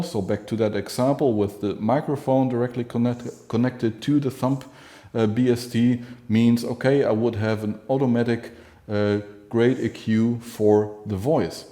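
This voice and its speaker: A man's voice: medium pitch, warm tone. A man presenting a product in a casual, explanatory demonstration style, going through things step by step. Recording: roomy, echoing room sound.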